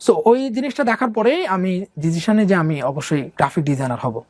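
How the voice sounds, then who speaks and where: A young man from Bangladesh recounts his own life story in a loose, rambling way, with plenty of fillers and English words mixed into his speech. He talks at a brisk pace. The recording is dry and close, with no room sound. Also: high-pitched, lively intonation, a little mellow, no echo. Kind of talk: casual conversational storytelling.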